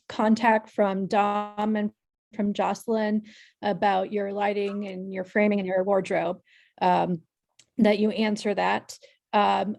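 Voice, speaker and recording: Director, female, gravelly edge, halting delivery with many fillers. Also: mid-range voice, a little mellow. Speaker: director and writer. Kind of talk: giving notes on a video call. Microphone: video call.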